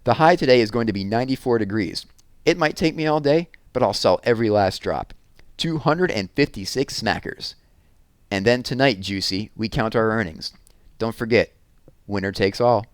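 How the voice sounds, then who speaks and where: Man as boastful boy, cocky older brother, scheming, deliberate delivery, storybook character, dry sound. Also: fairly low pitch, clear, resonant tone, no room sound, lightly acted.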